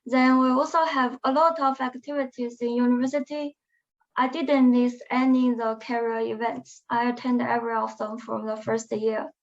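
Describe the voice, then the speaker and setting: A young woman speaking English as a second language, answering interview questions in a halting, hesitant way. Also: fairly high pitch, steady tone. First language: not English; non-native speaker. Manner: hesitant, halting phrasing with restarts. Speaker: alumna guest. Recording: muffled lo-fi webinar audio.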